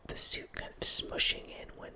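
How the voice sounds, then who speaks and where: A man in his thirties hosting an ASMR channel, whispering a rambling film chat into a close microphone in a small home room. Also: moderate pace. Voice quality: soft unvoiced whisper. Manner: rambling, with restarts and quiet laughs. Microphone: close studio mic with headphones.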